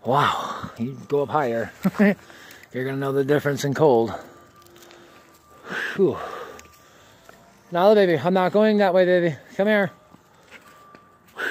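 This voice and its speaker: A man vlogging outdoors on a rocky hike, chatting casually and calling to his dog in a raised, coaxing voice, a little out of breath from climbing. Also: medium pitch. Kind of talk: casual running commentary while hiking. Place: outdoors on rocky canyon slopes. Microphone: handheld camera held while climbing.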